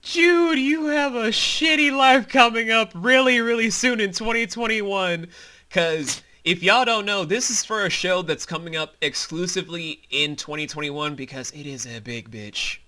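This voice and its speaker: A man's voice: high-pitched, moderate pace, bright, forceful tone. A man giving casual behind-the-scenes commentary as creator and host, informal and emphatic, with some swearing.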